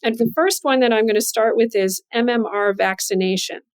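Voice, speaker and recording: A woman hosting a professional interview, speaking in a measured, articulate, explanatory way. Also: fairly high pitch, clean tone. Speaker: interviewer and host, physician and journal editor.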